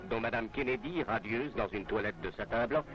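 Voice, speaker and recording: A man's voice: on the low side, a little fast, a bit bright, poor audio. A man narrating a scripted 1960s French newsreel in a formal announcer's manner, on a vintage film soundtrack. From France.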